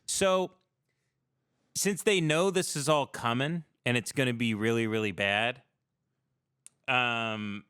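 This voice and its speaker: A man's voice: medium pitch, slow, long pauses, fairly bright tone, clear, resonant tone, no echo, clean studio sound. An American man on a casual livestream, talking in a rambling, opinionated and profane way with plenty of emphasis. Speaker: podcast host.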